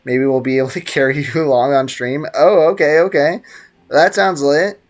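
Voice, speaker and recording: A man's voice: fairly high pitch, fairly even intonation, normal speed. A man livestreaming a game, chatting casually with slang and teasing his viewers. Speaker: game streamer. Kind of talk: casual livestream gaming chat, reacting to game and chat.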